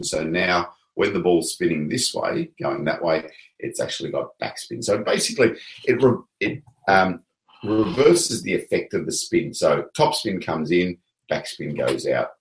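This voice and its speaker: A man coaching table tennis in a casual, relaxed way, pausing often with fillers. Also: low voice, echoey room, low-quality recording. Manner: relaxed, hesitant with many fillers.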